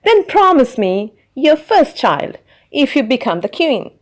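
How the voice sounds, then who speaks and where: Woman voicing a high-pitched, sly little imp man. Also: big pitch swings, a little slow, exaggerated voice. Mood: sly, bargaining. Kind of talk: storybook character voice.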